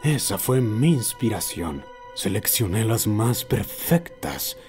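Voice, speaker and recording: A man voicing an obsessive old man who recounts his lifelong fixation in a solemn, deliberate horror narration. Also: lowish voice, very animated, darkish tone, faint music behind. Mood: brooding, solemn. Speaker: amateur narrator of a horror short. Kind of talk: scripted first-person horror narration.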